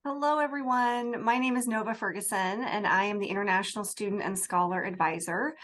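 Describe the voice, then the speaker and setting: A woman from Tacoma, an international student advisor, speaking on a Q&A panel in a warm, chatty and enthusiastic way. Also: medium pitch, brisk. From Tacoma, USA.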